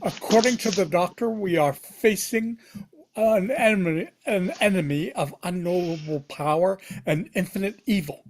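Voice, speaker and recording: A man's voice: high-pitched, moderate pace, poor audio. A man reading a stern, affronted military cleric commander at a script table read. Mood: stern, controlled fury.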